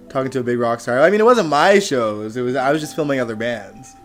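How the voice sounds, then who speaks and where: A man hosting a casual live stream, chatty and animated, laughing often, with a slightly hoarse voice. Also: medium pitch, fairly quick. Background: music playing faintly.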